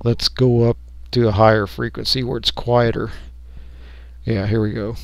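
An American man giving a casual, unscripted software walkthrough, folksy and rambling as he thinks aloud. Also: medium pitch, level tone, normal speed, vocal fry, no echo. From United States. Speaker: radio hobbyist giving a software tutorial.

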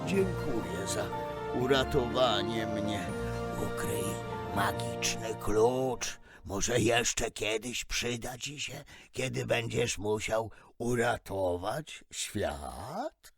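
Guy doing a kindly old snowman in a kids story, slow and solemn, music playing behind. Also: lowish voice, lively intonation, heavily acted. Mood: grateful, mysterious. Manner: solemn, encouraging, deliberate. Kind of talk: children's audio story acting.

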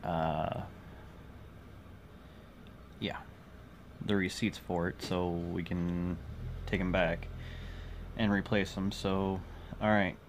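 A man in his forties chatting casually to viewers in a halting, rambling vlog, recorded on a phone indoors. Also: deep voice, little pitch movement. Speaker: vlogger. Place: small cluttered room indoors. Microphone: phone at arm's length. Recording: noisy phone recording, clear background noise.

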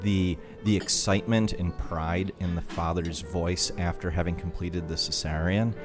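A man co-hosting a podcast, giving conversational literary analysis in reflective, halting phrases. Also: low voice, steady tone, music in the background. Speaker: podcast co-host.

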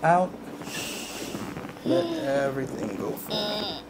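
A man working as a chiropractor, giving calm hands-on instructions with short repeated reassurances in a small treatment room. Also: high-pitched, takes it slowly, noisy background.

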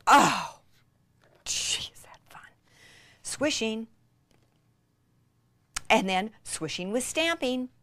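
A woman giving an enthusiastic hands-on craft demonstration, gushing and excitable, with breathy gasps and drops into near-whispers. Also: on the high side, drawn-out words, long pauses, dry recording. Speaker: craft instructor.

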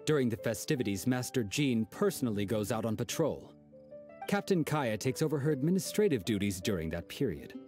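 A man's voice: mid-range voice, big pitch swings, a little fast, darkish tone, soft background music, lightly acted. A man voicing a formal, dutiful knight guard in a video game.

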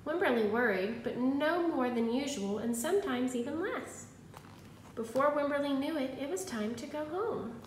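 A woman in her thirties teaching young children, expressive and instructive, in the manner of a picture-book read-aloud. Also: high voice, normal speed. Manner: expressive, instructive, prompting listeners with questions. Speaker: primary school teacher. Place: indoors in a room at home. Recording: roomy echo, faint background noise.

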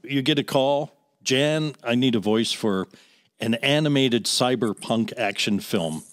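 A man's voice: mid-range voice, unhurried. A male podcast host with a mid-range voice. He sets up his questions conversationally, as an interviewer chatting with a guest.

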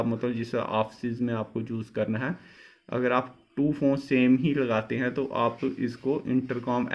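Male product reviewer, average pitch, explains and rephrases, dull phone-mic audio. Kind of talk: informal product review narration.

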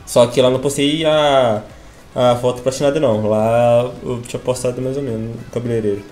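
A young man from Brazil chatting casually in a home vlog, excitable and laughing, with a stuffy nose from a cold. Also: mid-range voice, fairly quick, soft background music. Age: young adult. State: congested with a cold. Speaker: gaming youtuber. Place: small room at home.

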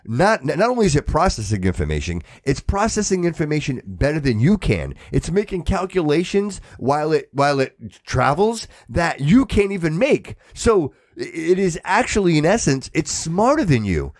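A man hosting a podcast in casual chat, excitable and insistent, often repeating himself to make his point. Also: on the high side, normal speed, few pauses, no echo, studio quality. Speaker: podcast host.

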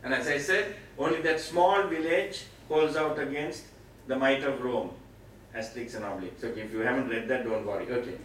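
A man's voice: mid-range voice, brisk, fairly bright tone. A middle-aged man from Sri Lanka with a Sri Lankan accent, giving an explanatory Bible teaching lecture in an emphatic way, repeating key phrases. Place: meeting room with seated audience, indoors. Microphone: far camera, speaker at a mic stand. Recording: very echoey room, distant pickup, noisy.

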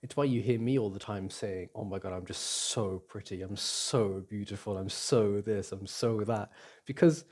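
A young man playing a caring, reassuring boyfriend in an intimate ASMR roleplay, speaking gently and haltingly with sighs and restarts. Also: lowish voice, lightly acted. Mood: earnest, insistent. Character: caring, reassuring boyfriend comforting his upset partner. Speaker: audio roleplay performer.

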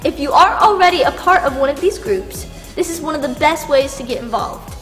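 A woman's voice: high voice, animated, fairly quick, fairly bright tone. A young woman giving upbeat, scripted church announcements with enthusiasm, addressing the congregation. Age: young adult. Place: large empty church sanctuary, indoors. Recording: echoey church hall, music behind.

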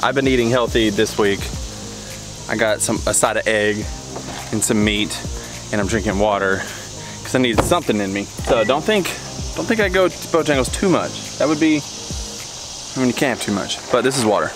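A man's voice: medium pitch, expressive, normal speed, pauses often. Chatty upbeat man vlogging to a handheld camera. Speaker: worship leader and vlogger. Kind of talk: casual vlog chat. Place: church hall and outdoor parking lot. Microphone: handheld camera at arm's length. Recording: music playing behind.

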